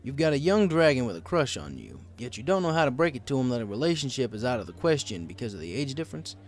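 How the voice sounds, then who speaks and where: A man playing a wry, folksy male pony bartender in an audiobook, casual, sympathetic and gently teasing. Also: mid-range voice, sing-song, quick pace. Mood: consoling. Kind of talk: audiobook character dialogue.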